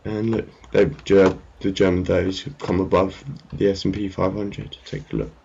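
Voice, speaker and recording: A man with a very low, husky voice and plenty of fry talks casually and without a script. He is hesitant and rambles as he presents what is on his screen. The homemade recording sounds dull and muffled. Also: flat delivery, normal speed, darkish tone. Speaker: trading analyst presenting his market update. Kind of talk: casual unscripted screen commentary. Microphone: amateur screen-recording voice-over.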